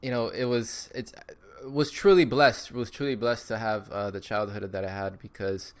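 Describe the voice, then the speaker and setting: A man's voice: fairly low pitch, fairly even intonation, normal speed. A man in his thirties from Miami, chatting as an upbeat podcast guest with lots of fillers. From Miami, Florida. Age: 30s. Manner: upbeat, with frequent fillers and repeats. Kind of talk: casual interview chat.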